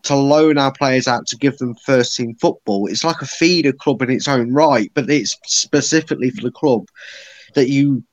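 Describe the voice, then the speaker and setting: A man's voice: medium pitch, normal speed, no echo, highs cut off. Chatty man, dry close sound. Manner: confident, explanatory, chatty. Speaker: football podcast panelist. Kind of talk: casual chat.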